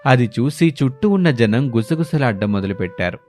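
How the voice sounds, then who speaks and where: A man's voice: mid-range voice, expressive, brisk, darkish tone, soft background music. A man narrating a scripted moral story as the storyteller.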